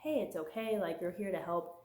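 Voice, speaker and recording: A woman's voice: on the low side, brisk, darkish tone, echoey room. A young woman with a worn voice from a cold, chatting casually about her month's reading, with many fillers. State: getting over a cold, voice giving out. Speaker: book vlogger. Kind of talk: casual chat.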